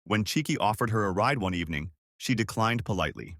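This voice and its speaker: Guy narrating a dramatic tale, measured but really animated pitch, super dry recording. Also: no echo. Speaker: storyteller. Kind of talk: scripted narration of a dramatic folktale-style story.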